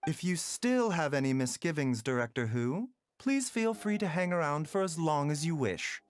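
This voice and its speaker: A man voicing a calm, composed male doctor who speaks in a measured, polite, clinical way. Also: a little high, natural voice. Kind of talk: game voice acting.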